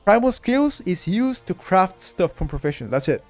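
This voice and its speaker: A man's voice: high-pitched, sing-song, moderate pace, a little mellow, soft background music. A man with a Bulgarian accent, talking through a game walkthrough on a live stream and speaking straight to his chat, often repeating himself. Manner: chatty, addresses chat directly, repeats himself.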